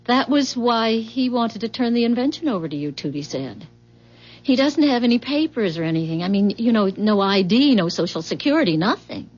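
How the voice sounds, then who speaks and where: An American actress playing a soft-hearted, questioning wife in a 1970s radio drama. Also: female voice, fairly high pitch, normal speed. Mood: worried. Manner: expressive, hesitant, questioning. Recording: muffled vintage radio broadcast.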